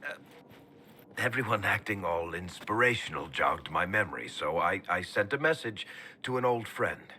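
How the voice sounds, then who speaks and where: An actor voicing a wry, easygoing dwarf companion in a fantasy game, speaking hesitantly with small stammers. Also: male voice, deep voice, expressive, moderate pace, a little hiss or hum. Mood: sheepish. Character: wry male dwarf storyteller, easygoing and evasive. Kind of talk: video game dialogue acting. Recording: game audio with soft music behind.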